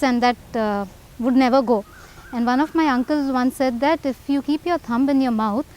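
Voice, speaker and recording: A woman's voice: sits high, a little mellow, slight background noise, poor audio. A woman in her thirties with an Indian accent, a doctor telling her own story conversationally in an interview. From northern India.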